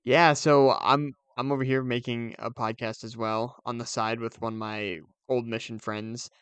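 A man's voice: medium pitch, flat delivery, moderate pace, a bit bright, clear, resonant tone, dry recording. A young man co-hosting a podcast in casual, conversational chat. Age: young adult. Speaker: podcast co-host.

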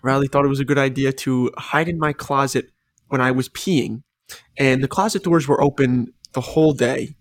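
A man's voice: mid-range voice, normal speed. A young man co-hosting a casual podcast with his roommate, rambling and repeating himself, his voice a little worn. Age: young adult. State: slightly lost voice. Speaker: podcast co-host. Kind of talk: casual chat. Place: shared apartment at night. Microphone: podcast microphone.

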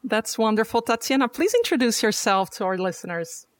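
A woman's voice: fairly high pitch, expressive, a little fast, no echo. A woman hosting a podcast, introducing her guest and asking questions in a polished, welcoming way. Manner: polished, welcoming presenter delivery. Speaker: podcast host.

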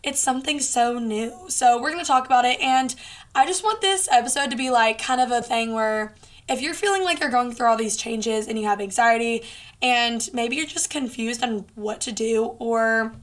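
Young female podcast host, late teens, highish voice with a hoarse rasp, informal and encouraging, chatty solo delivery. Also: normal speed. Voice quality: hoarse, raspy. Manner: informal, earnest, encouraging, peppered with "like". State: hoarse from a lot of talking. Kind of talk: casual solo podcast chat.

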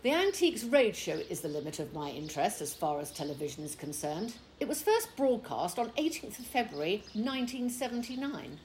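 A woman's voice: mid-range voice, sing-song, a little fast, few pauses, darkish tone, slight background noise, close to an everyday voice. A woman acting in an audio play as a prim, easily offended older English village wife, scolding with precise, haughty British diction. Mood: disapproving.

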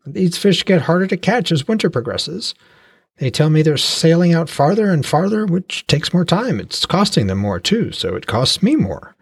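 Lively fish-trader type in a storybook, pitched well up and husky, pushy and exclaiming, put on by a male narrator. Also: moderate pace, no echo, studio quality, full character voice. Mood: friendly haggling. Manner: chatty, bargaining, exclaiming.

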